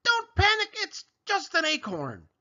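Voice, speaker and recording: A man voicing an eager, excitable little girl chicken from a storybook, who bursts out with urgent warnings. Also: extremely high pitch, unhurried, highs cut off, pitched above the performer's own voice, heavily acted. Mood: alarmed, shouting.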